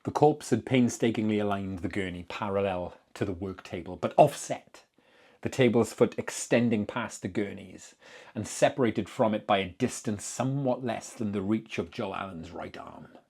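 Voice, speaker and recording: A man giving a dramatic, deliberate reading of literary horror fiction as narrator. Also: on the low side, a little mellow, husky.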